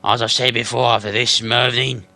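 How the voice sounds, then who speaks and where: A man reading aloud, voicing a quarrelsome, suspicious male troll who speaks in loud, rough dialect. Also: mid-range voice, level tone, normal speed, no room sound. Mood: menacing.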